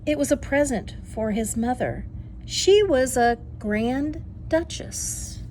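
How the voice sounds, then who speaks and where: A woman voicing a tender, nostalgic elderly great aunt in an expressive children's storybook reading. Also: high voice, slow, a little hiss or hum, voice pushed up, rough recording. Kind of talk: children's storybook read-aloud.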